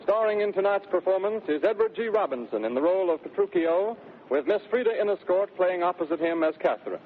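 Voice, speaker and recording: A man's voice: high-pitched, expressive, fast talker, slight background noise. A man making a formal, declamatory announcement as a 1930s radio network announcer. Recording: tinny 1930s radio broadcast.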